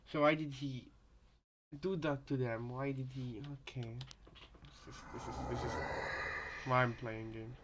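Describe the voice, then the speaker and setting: Even-toned man, halting casual commentary. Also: medium pitch, a little hiss or hum. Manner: halting, repetitive, thinking out loud. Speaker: co-commentator in a reaction video.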